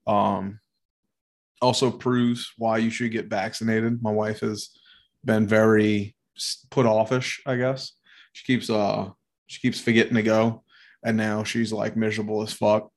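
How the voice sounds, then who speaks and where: A man's voice: lowish voice, little pitch movement, measured pace. Nasal, rambling male co-host. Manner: rambling, profane, laughing. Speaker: podcast co-host. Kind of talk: casual podcast chat.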